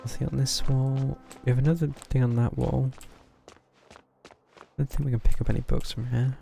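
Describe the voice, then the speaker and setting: Casting: male gameplay streamer, offhand muttered commentary, creaky voice over clear game music. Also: mid-range voice, warm tone. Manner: muttering, reading game text aloud. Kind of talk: casual live gameplay commentary.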